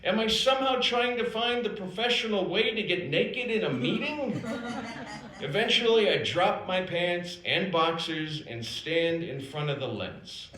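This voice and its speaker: Animated, high-pitched American man telling stories. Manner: theatrical, deliberate pauses and emphatic delivery. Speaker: storyteller performing own written piece. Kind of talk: comedic live storytelling, read from script. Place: theater stage before an audience. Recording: roomy live stage recording. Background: audience laughter.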